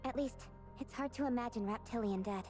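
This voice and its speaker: A woman voicing young heroic female characters in a video game, with a playful, animated delivery. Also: fairly high pitch, a little fast, soft background music, close to an everyday voice. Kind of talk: voiced video game dialogue.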